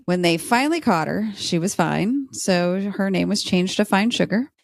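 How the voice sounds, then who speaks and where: A woman's voice: medium pitch, lively intonation, unhurried, gravelly, no room sound. An American woman speaking in a playful, dramatic way, as a podcast guest telling stories and chatting casually. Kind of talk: lively storytelling and casual chat.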